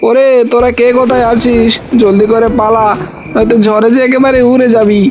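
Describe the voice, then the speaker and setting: A man's voice: very high-pitched, flat delivery, fairly quick, darkish tone, slight background noise. A man voicing a scheming, self-pitying rival eatery owner in a cartoon fairy tale, with a melodramatic manner. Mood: alarmed. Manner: wailing, melodramatic.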